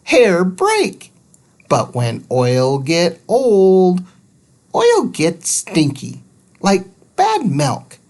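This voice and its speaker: A woman's voice: low-pitched, darkish tone, exaggerated voice. A woman voicing a small helpful robot that explains things in clipped, broken sentences for a children's storybook. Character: small helpful robot with a face screen, speaking broken simplified English.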